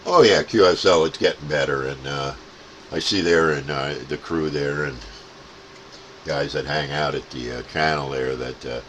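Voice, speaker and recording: Male CB hobbyist, extremely deep voice, casual halting radio chat, faintly noisy transmission. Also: a bit bright.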